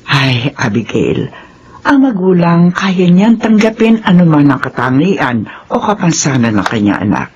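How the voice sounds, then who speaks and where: A woman's voice: sits low, big pitch swings, a little slow, a little mellow, muffled recording. A woman playing a kindly, patient middle-aged aunt in a Filipino radio drama, motherly and reassuring. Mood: fond, reassuring.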